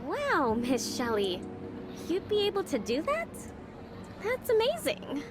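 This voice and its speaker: High, courteous anime servant girl. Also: wildly swooping pitch, slow pace, background noise, full character voice. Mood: impressed. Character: polite, earnest young female servant in an anime game. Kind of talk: video game character acting. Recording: music behind the voice.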